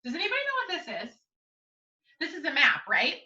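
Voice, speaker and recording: An American woman, a school teacher, hosting a storytime for young children in an expressive sing-song way, asking them questions as she goes. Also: high voice, fairly quick. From United States. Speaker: school teacher reading to her young pupils. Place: indoors at home. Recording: roomy echo, distant mic, slightly dull sound.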